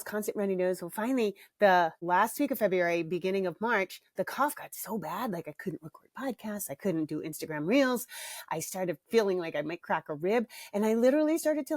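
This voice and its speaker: A woman's voice: mid-range voice, lively intonation, fairly quick, no echo, studio quality. A middle-aged woman hosting her own podcast in a candid, chatty way, laughing easily and with a slight cough. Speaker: podcast host and parenting author. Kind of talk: casual solo podcast chat.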